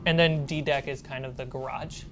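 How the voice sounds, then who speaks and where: A woman's voice: rumbling low, steady tone, a little fast, slight background noise. A woman running a tabletop game as game master, explaining and narrating in a casual, improvised way. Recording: loud music behind the voice. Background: loud background music.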